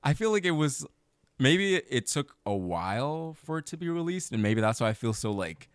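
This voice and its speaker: A man's voice: mid-range voice, very animated, normal speed, smooth, dry recording. A man chatting casually as a podcast guest, often searching for his words. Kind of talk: casual chat.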